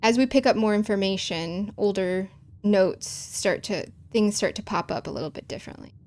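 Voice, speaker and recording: A woman's voice: medium pitch, moderate pace, a little mellow. Chicago-accented woman streamer, long vowels. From American Midwest. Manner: over-enunciates words, long vowels. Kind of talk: casual live-stream chat while playing a game.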